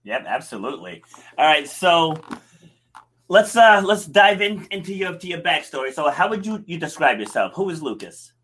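A man's voice: fairly high pitch, big pitch swings, moderate pace, a bit bright, breathy edge. A man hosting a podcast interview, asking questions and dropping in short affirmations between them. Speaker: podcast host.

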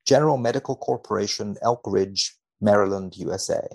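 A man who is a guest researcher on a podcast, reading and commenting on a study in a precise, measured, dry manner. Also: on the low side, vocal fry, a little mellow.

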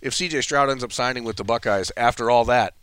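A man giving quick, assured college football analysis in casual sports talk, with a dry sense of humor. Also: medium pitch, fairly bright tone, smooth, no echo.

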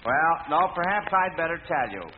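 A man's voice: a little high, sing-song, moderate pace. A man hosting a 1940s radio quiz show, addressing contestants in a formal announcer's manner. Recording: noisy lo-fi vintage radio broadcast.

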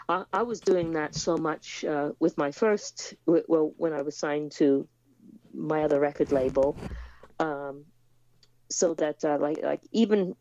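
A woman's voice: low-pitched, mellow, dry recording, highs cut off. A woman raised in the United States, a musician guest in a remote interview, talking hesitantly with many fillers and restarts.

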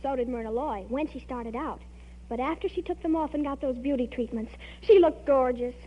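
A woman's voice: high-pitched, brisk. An actress playing an earnest, anxious, movie-struck teenage girl in an old radio comedy, speaking with breathless melodrama. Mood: grave, secretive. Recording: muffled old radio recording, hum.

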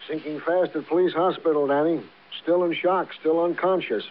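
An actor playing an eager, wordy police sergeant with comically formal slang in a 1950s radio drama. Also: male voice, a little high, fairly even intonation, normal speed, close to an everyday voice. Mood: eager, reporting. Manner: colorful slangy cop talk with stilted formal words. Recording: narrow, tinny vintage radio recording.

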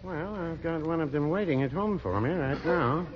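An actor playing a mild, prim, deadpan older small-town druggist in a 1940s radio comedy. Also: male voice, a little high, moderate pace, lightly acted. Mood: dry, deadpan. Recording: dull, noisy old radio recording.

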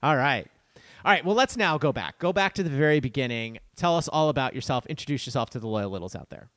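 A man hosting a casual podcast interview, enthusiastic and quick to laugh. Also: medium pitch, lively intonation, a bit bright, dry recording. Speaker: podcast host.

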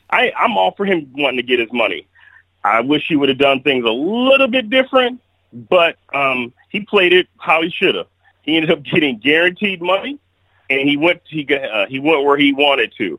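A man's voice: mid-range voice, fairly bright tone, dry recording, low-quality recording. A man giving blunt, opinionated takes as the guest on a casual sports chat, often restarting his sentences. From Kalamazoo, Michigan.

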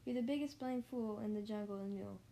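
A woman's voice: medium pitch, fast, vocal fry, mellow, a little hiss or hum, lightly acted, poor audio. A woman voicing a sour mother kangaroo, dismissive and mocking.

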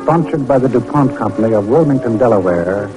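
A man's voice: mid-range voice, lively intonation, brisk, poor audio. A man announcing on a radio program in formal, dramatic 1940s scripted narration. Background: music.

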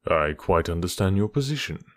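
A man's voice: low voice, sing-song, normal speed, fairly bright tone, dry recording. A man voicing a cool, precise male detective with a dry, ironic manner in an audiobook. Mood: cool, measured. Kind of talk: audiobook character voice.

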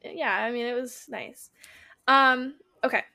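Female podcast co-host, high voice, casual and giggly conversational storytelling. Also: flat delivery, a little slow, pushed and bright. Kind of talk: casual unscripted book chat.

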